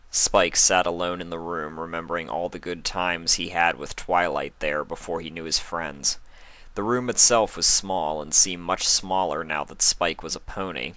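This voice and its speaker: Deep-voiced man narrating a story. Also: bright, forceful tone, no echo.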